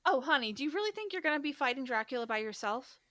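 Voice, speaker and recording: Woman, higher-pitched voice, podcast co-host, casual book chat, playful and teasing. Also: animated, fast, fairly bright tone. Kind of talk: casual podcast banter about a novel.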